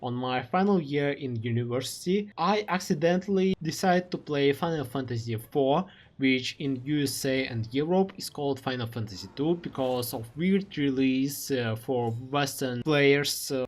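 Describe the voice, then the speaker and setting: Russian-accented man reading with halting pauses. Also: medium pitch, expressive. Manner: careful, halting delivery with frequent uh fillers. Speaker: streamer. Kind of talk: reflective read-aloud monologue.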